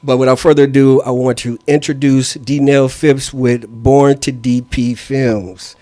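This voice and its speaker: A man hosting a podcast with a hoarse voice, giving an upbeat, rambling introduction. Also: medium pitch, level tone, a little slow, warm tone, dry recording. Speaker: podcast host.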